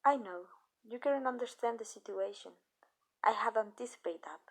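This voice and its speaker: A woman playing a calm, possessive vampire owner in an ASMR roleplay, speaking softly and deliberately with pauses. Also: fairly high pitch. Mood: soft, condescending. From Mexico. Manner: measured, deliberate, soft-spoken with pauses. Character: calm, possessive female vampire owner addressing her human. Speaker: amateur voice actress.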